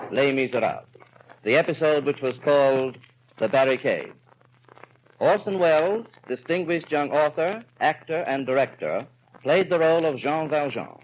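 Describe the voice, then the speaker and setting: American man, mid-pitched, formal network announcer of the 1930s, dull and crackly vintage radio sound. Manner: formal, enunciated delivery. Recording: noisy, crackly, band-limited 1930s radio recording.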